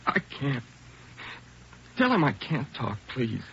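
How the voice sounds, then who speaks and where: A man's voice: mid-range voice, huge pitch swings, takes it slowly, a bit bright, a little hiss or hum, heavily acted. An actor playing a frightened, knifed teenage boy who pleads in a rising, panicky voice in a 1940s radio drama. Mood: pleading, panicked. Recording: muffled old radio broadcast.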